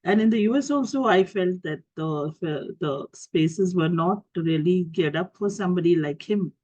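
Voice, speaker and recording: A woman's voice: fairly low pitch, a little mellow, dry recording. A middle-aged Indian woman with a cold, giving a talk over a video call, stopping and restarting often with fillers. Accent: Indian English. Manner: halting, many fillers and restarts. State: has a cold and cough. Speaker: guest speaker. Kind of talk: talk to an online group. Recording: dull lo-fi call audio.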